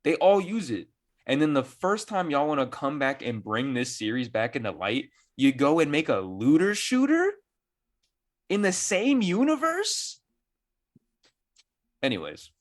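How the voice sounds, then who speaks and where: A man's voice: on the high side, very animated, a little slow, pauses often. A man in casual, profane podcast banter, ranting with sarcasm and exasperation. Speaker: gaming podcast panelist.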